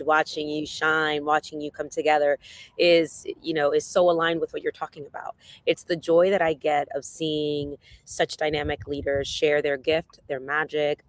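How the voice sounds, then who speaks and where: A man's voice: on the high side, no room sound. Effusive, heartfelt man speaking. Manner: effusive and heartfelt, many "you know"s. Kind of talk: motivational talk.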